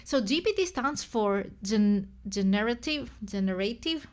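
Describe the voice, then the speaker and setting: A woman's voice: medium pitch, expressive, measured pace. Greek-accented woman host, native Greek speaker, loose live-stream chat that wanders and self-corrects. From Greece. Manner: rambling, self-correcting, thinking aloud.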